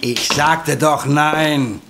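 An actor playing a grumpy, resentful police inspector in a German audio drama, gruff, curt and irritated. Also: male voice, on the high side, fairly even intonation, very slow, noisy background, exaggerated voice.

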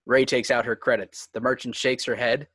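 A man's voice: medium pitch, level tone, moderate pace, fairly bright tone, husky, no echo. A man reading screenplay stage directions as the narrator of a script table read.

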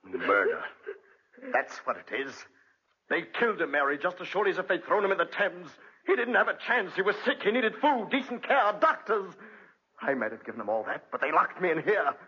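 An actor playing a bitter, grieving debtor in prison, husky and impassioned, in 1940s radio drama style. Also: male voice, sits high, big pitch swings, fairly quick, heavily acted. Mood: bitter, anguished. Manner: impassioned, melodramatic. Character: anguished, bitter imprisoned debtor, grieving husband and father. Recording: muffled 1940s radio recording.

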